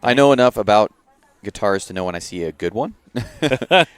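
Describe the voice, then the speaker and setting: A man's voice: lowish voice, expressive, moderate pace, clean tone, faint music behind. A man hosting an outdoors podcast, chatting casually and laughing easily as he talks. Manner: laid-back, laughs often, restarts words. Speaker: podcast host. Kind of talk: casual chat.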